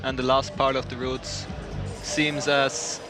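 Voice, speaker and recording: A man's voice: mid-range voice, little pitch movement, slow. A man with an Austrian accent giving casual live sports co-commentary. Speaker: co-commentator and competition climber. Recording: music playing behind the voice.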